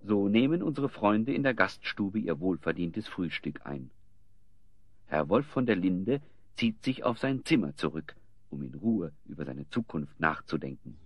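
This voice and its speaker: A man playing the storyteller of a children's audio drama, narrating in a measured, expressive way with a husky voice. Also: sits low, no room sound, highs cut off. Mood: storytelling.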